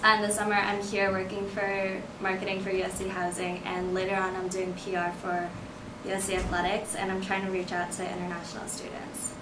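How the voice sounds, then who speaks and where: A woman's voice: mid-range voice, completely flat delivery, fairly quick. A young woman from Hong Kong, a college student, introducing herself plainly on a panel. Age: young adult. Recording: echoey classroom, distant mic, noisy.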